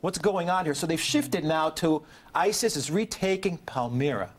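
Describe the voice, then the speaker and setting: Emphatic male commentator, slightly high voice. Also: moderate pace. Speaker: panel guest commentator. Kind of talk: political panel discussion.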